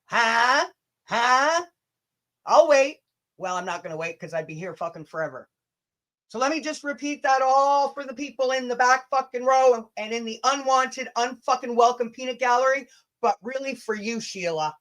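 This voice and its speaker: A woman's voice: on the high side, lively intonation, moderate pace, a bit bright. A woman from Canada hosting a livestream, chatting casually and reading emails aloud with a blunt, sweary, sarcastic delivery. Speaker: livestream host. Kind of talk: livestream chat mixed with dramatic reading of emails.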